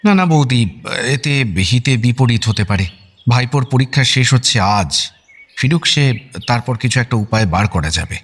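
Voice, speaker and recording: A man acting a blustery but affectionate uncle in a radio drama, husky and colloquial. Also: medium pitch, lively intonation, moderate pace, fairly bright tone. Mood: concerned. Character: blustery, affectionate family uncle, teasing and exasperated.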